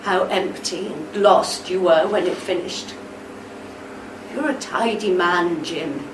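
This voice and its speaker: An actress playing a gentle but insistent wife, tender and sorrowful, in a radio play. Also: female voice, on the low side. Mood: tender, pained. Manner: gentle, coaxing, deliberate. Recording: echoey room, distant mic, background noise.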